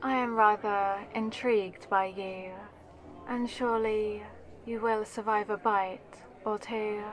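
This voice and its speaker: A woman's voice: mid-range voice, fairly even intonation, slow, close to an everyday voice. A woman playing an aristocratic elder vampire in an ASMR audio roleplay, composed and commanding, with formal, condescending poise. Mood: gracious, intrigued.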